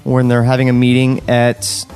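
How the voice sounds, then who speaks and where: A man hosting a podcast in casual chat, recapping a story haltingly with sarcastic, sweary asides. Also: medium pitch, completely flat delivery, darkish tone. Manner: foul-mouthed, sarcastic. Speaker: podcast host recapping a script. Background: music bed.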